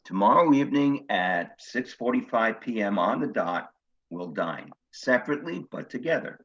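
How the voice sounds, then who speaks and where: A man's voice: medium pitch, expressive, moderate pace, a bit bright. An actor playing a courteous, precise widowed grandfather courting on a video date in a stage comedy, earnest and a little formal, with dull, muffled sound.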